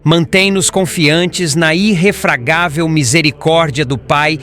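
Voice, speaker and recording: Man voicing a higher-pitched, reverent spirit guide. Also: fairly even intonation, a little slow, a bit bright, slight background noise. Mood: solemn, pleading. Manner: solemn, reverent, formal. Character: devout, humble male spirit guide leading a prayer. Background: background music.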